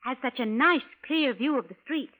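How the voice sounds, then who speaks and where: An actress playing a polite, gushing young wife from the future, prim and formal in 1940s radio style. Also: female voice, high voice, lively intonation, normal speed, sharp tone. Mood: gushing, flustered. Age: 20s. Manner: prim, formal, precise, few contractions. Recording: narrow, muffled vintage radio recording.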